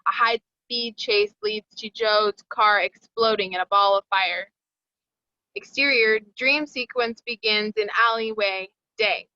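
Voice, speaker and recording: A woman narrates a script's stage directions at a table read. Her voice is bright and mid-pitched, recorded very dry and slightly dull in fidelity. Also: fairly even intonation, unhurried, no room sound.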